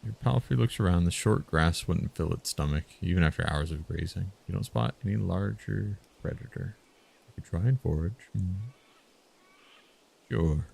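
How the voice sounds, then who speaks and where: A man live streaming a text game, musing out loud in short casual phrases and sometimes reading the game text. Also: low-pitched, normal speed, creaky, husky. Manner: musing, muttered short phrases, thinking aloud.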